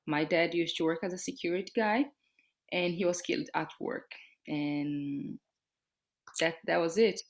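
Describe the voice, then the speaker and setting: A woman's voice: fairly low pitch, fairly even intonation. A woman from Brazil with a Brazilian accent and Portuguese as her first language, speaking reflectively in an interview and often searching for words. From Brazil, born in Salvador and raised in São Paulo. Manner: halting, searching for words with repetitions. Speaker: dance artist being interviewed. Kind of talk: reflective conversational interview about own life.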